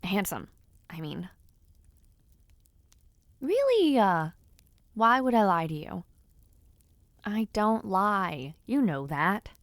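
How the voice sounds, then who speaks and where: A young noblewoman played by a woman speaks intimately to someone dear in a romance audio drama. She is bashful and giddy, teasing with affection and hesitating softly. Her mid-pitched voice takes its time, in a very dry, close recording. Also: big pitch swings, slow pace, long pauses, no room sound. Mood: awkward, shy. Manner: soft hesitations, gentle teasing, shy giggles. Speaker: voice actor in romance audio roleplay. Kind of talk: intimate scripted audio roleplay, speaking to the listener.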